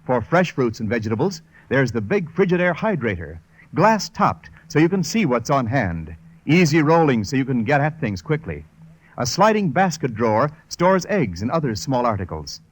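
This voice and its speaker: A man's voice: mid-range voice, big pitch swings, normal speed, no room sound. A man announcing for a vintage radio show and reading sponsor copy in a polished, emphatic sales style.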